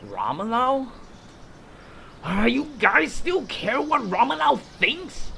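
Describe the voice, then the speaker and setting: Man voicing a high, whiny, rebellious creature. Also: sing-song, takes it slowly, gravelly, bright, forceful tone, a little hiss or hum, full character voice. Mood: indignant. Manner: griping, blustering, worked-up. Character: resentful, whiny fantasy creature rallying a mutiny. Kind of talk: audio drama acting.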